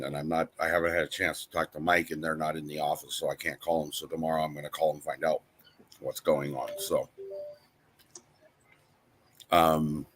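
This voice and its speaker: A man's voice: rumbling low, fairly bright tone. A man co-hosting a casual live-stream chat, reading viewer comments aloud and answering them. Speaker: co-host of a live channel chat.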